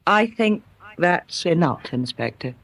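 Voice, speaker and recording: Cool, sardonic English woman, lively intonation. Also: on the low side, a little slow, heavily acted. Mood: guarded, indignant. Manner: cool, clipped, formal, wry. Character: composed, sardonic, guarded married daughter under questioning. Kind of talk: British radio crime drama acting.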